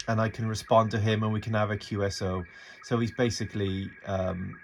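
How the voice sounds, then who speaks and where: A man's voice: mid-range voice, a bit bright. A middle-aged man giving a casual, unscripted and enthusiastic tutorial, talking through each step as he works, recorded on a phone. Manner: enthusiastic, informal, narrating as he works. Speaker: amateur radio tutorial presenter. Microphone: phone camera microphone. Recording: noisy amateur phone video recording.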